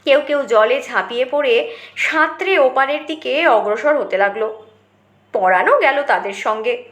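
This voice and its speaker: A woman narrating a scripted story in an expressive, dramatized way. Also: high voice, unhurried. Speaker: audiobook narrator. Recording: roomy, echoing voice recording.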